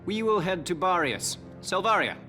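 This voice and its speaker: A lordly war commander from a video game, measured and formal, music under it. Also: male voice, high voice, big pitch swings. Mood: imperious, resolute. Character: commanding noble male army commander, imperious and composed. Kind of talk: dramatic game cutscene acting.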